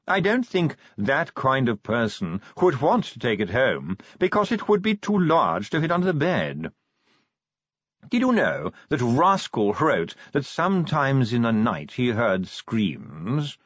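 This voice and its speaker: A man's voice: mid-range voice, a bit bright, dry recording, pitched above the performer's own voice, heavily acted. Guy doing a posh snooty museum man in an audiobook, very proud, swoopy voice, slightly dull recording. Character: pompous, proud upper-class gentleman museum official.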